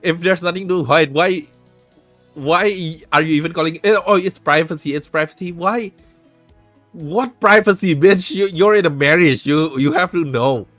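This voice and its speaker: A man's voice: fairly high pitch, moderate pace, fairly bright tone, dry recording, soft background music. A man hosting a live stream, giving frank relationship advice in casual chat, swearing freely and restarting his sentences. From Indonesia. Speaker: streamer host.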